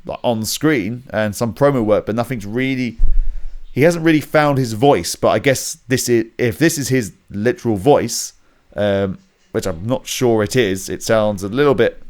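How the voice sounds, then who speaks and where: A man from Britain co-hosting a wrestling podcast, speaking in a measured, agreeable way with frequent ums and uhs. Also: fairly low pitch. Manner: measured, agreeable, with many fillers. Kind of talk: casual chat.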